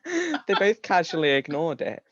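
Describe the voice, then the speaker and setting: A man's voice: a little high, wildly swooping pitch, a little slow, no echo, lo-fi sound. A man giving a live comedic reading of a story, amused, often breaking into laughter and tripping over his words. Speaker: reader of comic fan fiction.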